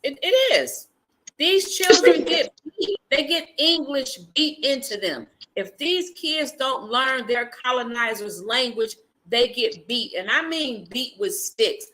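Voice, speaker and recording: A woman's voice: high-pitched, lively intonation, takes it slowly, bright, forceful tone, a little hiss or hum, poor audio. A woman hosting a livestream discussion, speaking bluntly and emphatically. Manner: blunt, emphatic, talks over points. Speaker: livestream host.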